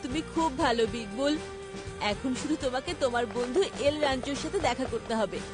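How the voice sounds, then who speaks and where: Lady doing a plucky little boy cartoon hero, high voice, helpful and earnest, loud music under it. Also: a little fast, lightly acted. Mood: confident, friendly. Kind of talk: dubbed cartoon acting.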